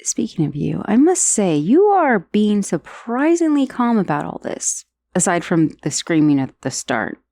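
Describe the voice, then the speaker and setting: A woman's voice: mid-range voice, sing-song, unhurried, gravelly, hardly any pauses, mellow, no echo, studio quality. A woman playing an undead dullahan's severed head in an ASMR roleplay, conversational and dryly sardonic, menacing yet wistful, with sighs and hesitations. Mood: resigned, curious.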